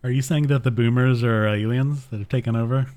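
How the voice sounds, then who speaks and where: A man's voice: mid-range voice, fairly even intonation, brisk, darkish tone. A man in his thirties, co-hosting a podcast, chatting casually and dropping wry jokes. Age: 30s. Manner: joking, wry asides. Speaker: podcast co-host.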